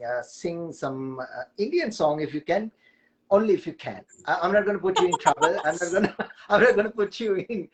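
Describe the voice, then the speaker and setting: A man hosts a relaxed live video chat. He talks haltingly, stumbling over many hesitations as he goes, and drops in light jokes along the way. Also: sits high, background noise. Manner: halting, many "uh" fillers, jokey. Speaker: host.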